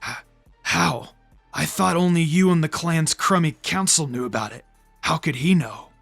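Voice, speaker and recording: A narrator voicing a brash, blunt, rough-spoken teenage boy ninja in a story reading. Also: mid-range voice, expressive, moderate pace, fairly bright tone, dry recording, faint music behind, voice pushed down. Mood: shocked.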